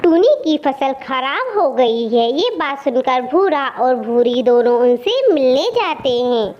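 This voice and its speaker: A woman's voice: high voice, very animated, unhurried. A woman narrating a scripted children's story. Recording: muffled with faint background noise.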